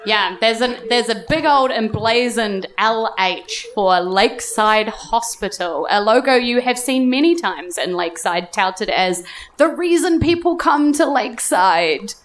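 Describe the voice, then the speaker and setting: A woman's voice: fairly high pitch, lively intonation, unhurried, slight background noise. A woman with a New Zealand accent, playful and giggly, narrating as game master in a live tabletop game. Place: live on stage at a festival club.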